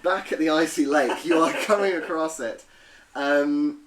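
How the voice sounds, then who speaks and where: A man's voice: on the high side, unhurried, crowd noise. A man running a tabletop game as game master, narrating playfully and humming sound effects.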